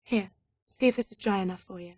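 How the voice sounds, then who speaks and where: A woman's voice: medium pitch, fairly quick, darkish tone, slightly rough, no echo, studio quality, close to an everyday voice. A woman playing a confident, flirtatious socialite pilot in a video game, playful and self-assured. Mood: casual. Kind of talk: video game cutscene acting.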